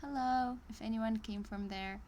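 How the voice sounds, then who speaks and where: A woman's voice: fairly high pitch, steady tone, moderate pace, a little mellow, lo-fi sound, slight background noise. A woman live streaming as she reads an online course aloud, breaking off into chatty asides and small laughs. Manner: hesitant, many ums and restarts. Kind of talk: casual live-stream reading with commentary.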